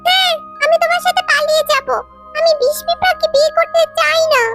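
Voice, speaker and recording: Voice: very high-pitched, steady tone, brisk, over music. A performer voicing an anxious, lovelorn female ant sweetheart in a cartoon romance. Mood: pleading. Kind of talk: cartoon voice acting, romantic melodrama.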